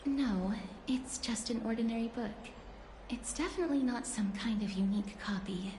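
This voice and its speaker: An actress playing a gentle, earnest, bookish young woman in a video game, soft-spoken and polite with breathy phrase endings. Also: a little high, normal speed, a little hiss or hum, close to an everyday voice. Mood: gentle, wistful. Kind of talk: video game character acting. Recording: echoey, faint background ambience.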